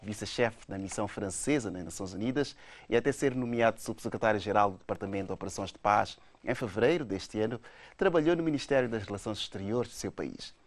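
A man hosting a formal news interview, asking measured questions. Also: fairly low pitch.

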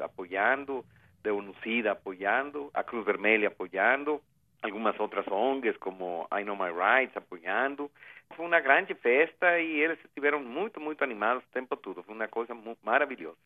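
A man's voice: lowish voice, moderate pace. A man giving a phone interview, speaking earnestly with many hesitations and restarts. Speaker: interviewee, refugee agency representative. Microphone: telephone. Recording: tinny phone line.

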